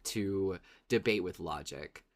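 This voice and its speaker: A woman's voice: a bass voice, slow pace. A woman co-hosting a podcast in casual banter, playful and emphatic, often repeating phrases for effect. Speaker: podcast co-host.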